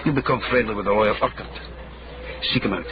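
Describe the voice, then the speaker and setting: Man acting a shrewd Scottish period detective, low-pitched, dry manner, radio drama, very noisy recording. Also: quick pace, close to an everyday voice. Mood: firm, dry. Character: dry, shrewd caddy turned investigator in 1790s Edinburgh. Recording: muffled radio drama, loud background noise.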